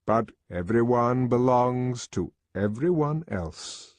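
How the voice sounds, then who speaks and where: Man playing a measured, authoritative controller. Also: mid-range voice, lively intonation, darkish tone, dry recording. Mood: lecturing. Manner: measured and lecturing. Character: calm authoritative male world controller.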